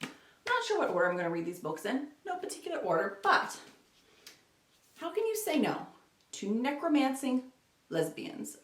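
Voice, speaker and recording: A woman's voice: high voice, fairly bright tone. A woman chatting informally and self-deprecatingly to camera as a book vlogger. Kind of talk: casual chat to camera. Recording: roomy echo, distant microphone.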